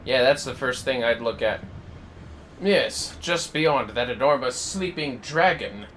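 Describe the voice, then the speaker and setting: A man's voice: medium pitch, expressive, a little slow, a bit bright, a little hiss or hum. A man in his thirties chatting casually and jokingly as he livestreams a video game, with theatrical bits. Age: 30s. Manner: jokey, theatrical, slips into bits.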